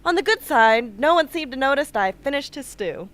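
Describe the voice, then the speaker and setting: An actress as a battle-scarred young female rebel with a fairly high voice. She is dry, brash and says exactly what she thinks, in a radio play. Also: expressive, moderate pace, a bit bright. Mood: sardonic. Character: sardonic, blunt young woman, battle-scarred victor and rebel. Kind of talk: amateur radio drama acting.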